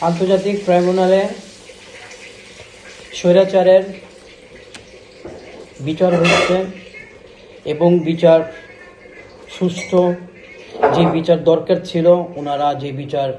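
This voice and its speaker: A man with a Bangladeshi accent giving a heartfelt, devout reaction in short, halting phrases. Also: high-pitched, level tone, echoey room, background noise.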